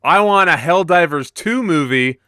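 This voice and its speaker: A man's voice: fairly high pitch, lively intonation, normal speed, bright, forceful tone, clean tone, no echo, studio quality. A man co-hosting a podcast in casual conversation. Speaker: podcast co-host.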